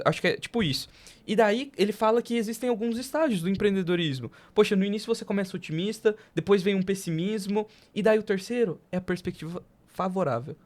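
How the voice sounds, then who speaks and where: A man's voice: sits high, a little mellow. A Brazilian man speaking as a podcast host in a casual, reflective, colloquial way.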